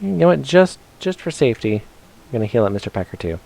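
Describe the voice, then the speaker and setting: A man's voice: mid-range voice, very animated, a little fast, vocal fry, darkish tone, low-quality recording. A man doing casual, rambling gameplay commentary for a live stream, chatting playfully to his viewers as he plays. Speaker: game streamer.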